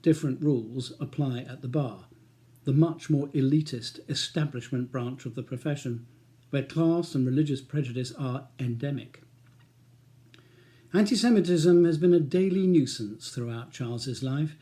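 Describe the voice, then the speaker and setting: A man's voice: medium pitch, moderate pace, warm tone. A man reading his own crime novel as a scripted audiobook narration.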